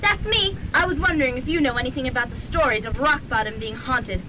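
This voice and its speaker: An actress playing a pushy, excitable young reporter with a brisk, nosy manner. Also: female voice, natural voice. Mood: eager. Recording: noisy student radio recording, rumble.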